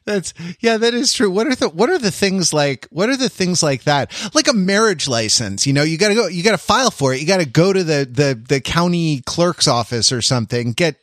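Rambling American man, slightly high-voiced, close and dry. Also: animated, fairly bright tone, no room sound. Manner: rambling, playful, halting delivery. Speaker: podcast co-host. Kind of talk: casual comedic banter.

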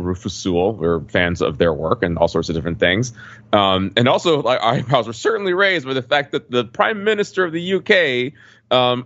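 A man's voice: medium pitch, a bit bright, no room sound. An American man on a podcast panel giving a casual, informal recap with repeated starts, on a slightly muffled mic.

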